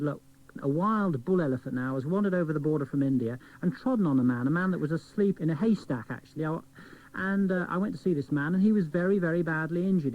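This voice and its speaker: A man, a doctor being interviewed, explaining things articulately and telling anecdotes. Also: a little high. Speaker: doctor, studio guest. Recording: tinny band-limited old cassette radio recording.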